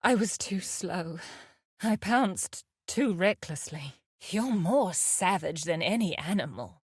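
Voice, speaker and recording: A woman playing a fierce, guarded huntress in a video game, speaking in a deliberate, terse and solemn way. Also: fairly low pitch, animated, a little mellow, husky, dry recording, studio quality, exaggerated voice. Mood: grim, proud. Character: fierce, guarded huntress outlaw, wry nature lover. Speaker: video game character voice actor. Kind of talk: scripted game character lines.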